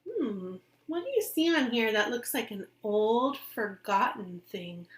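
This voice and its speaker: A woman with a fairly high voice reads a children's picture book aloud at a very unhurried pace. She sounds like a teacher, expressive in her reading and simple in her explanations, often turning to her young listeners with questions.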